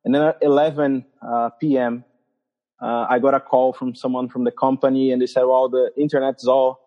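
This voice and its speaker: A man from Brazil with a Brazilian Portuguese accent, a missionary guest telling his story in a casual podcast interview, halting and laughing often. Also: medium pitch, flat delivery, dull audio.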